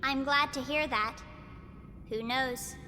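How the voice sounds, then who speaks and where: A woman voicing a calm, formal guide, bright with long reverb. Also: high-pitched, exaggerated voice. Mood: explaining. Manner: formal, measured explanations. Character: calm, upbeat female guide explaining the school. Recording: long reverb with music behind.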